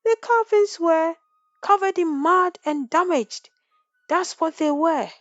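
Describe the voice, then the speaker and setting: A proud village chief from an animated folktale , acted out by a woman. He is commanding and scornful, thundering his anger at those beneath him. The sound is close and dry. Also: extremely high pitch, slow pace, dry recording, dull audio, pitched above the performer's own voice. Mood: indignant.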